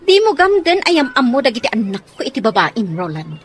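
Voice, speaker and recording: A woman's voice: a little high, sing-song, brisk, a little hiss or hum. A woman playing an indignant, protective mother in a radio drama, speaking in an accusing, emphatic way. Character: indignant, protective mother confronting her husband.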